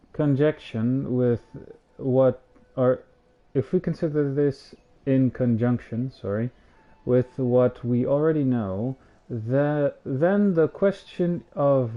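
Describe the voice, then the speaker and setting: A man streaming a game and giving casual live commentary as he plays. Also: medium pitch. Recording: slightly dull stream mic.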